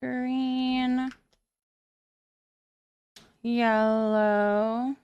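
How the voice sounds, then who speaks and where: A woman hosting a casual livestream, chatting with viewers in a gentle, affectionate way and often repeating her phrases softly. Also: on the high side, little pitch movement, extremely slow, clean tone, dull audio. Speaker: livestream host. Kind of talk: casual livestream chat, thanking viewers and reading their messages aloud.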